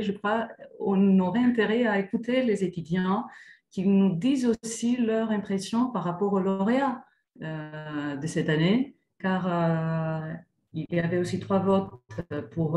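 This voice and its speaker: A woman panel speaker giving a measured, courteous address. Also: on the low side, warm tone, roomy sound. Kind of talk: formal address.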